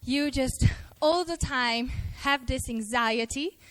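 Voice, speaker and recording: A young adult woman giving a lively, self-mocking talk on a festival stage, acting out her own worries. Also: high-pitched, slow. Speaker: conference speaker. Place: festival stage before a live audience. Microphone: handheld mic.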